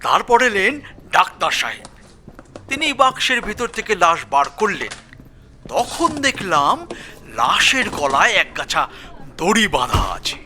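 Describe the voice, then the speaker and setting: An actor playing an excitable police constable dramatically recounting a grisly discovery in an audio story. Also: male voice, high voice, a little slow, pauses often, soft background music, exaggerated voice. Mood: earnest recounting.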